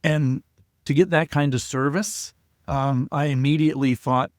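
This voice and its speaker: Older male guest, shy, halting storyteller, mid pitch, dry close sound. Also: fairly even intonation, no room sound. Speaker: guest, COPD advocate. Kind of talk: casual interview talk.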